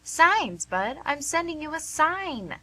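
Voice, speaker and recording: A woman's voice: high voice, big pitch swings, slow pace, bright, forceful tone, full character voice. A woman playing a plain-spoken, caring but pushy nurse in a radio play, persuasive and folksy. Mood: playfully encouraging. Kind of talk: amateur radio theatre acting.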